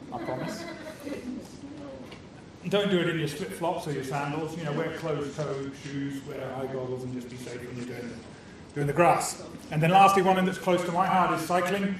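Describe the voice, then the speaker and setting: A man's voice: on the high side, a little fast. Cheerful guy running a club meeting, joking with the room, distant mic, lots of echo. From new Canadian citizen. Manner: cheerful, bantering, self-deprecating. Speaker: meeting host opening a club meeting. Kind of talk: jokey public-speaking address to a club audience. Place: meeting room with a live audience. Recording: echoey room, far-off mic, noisy.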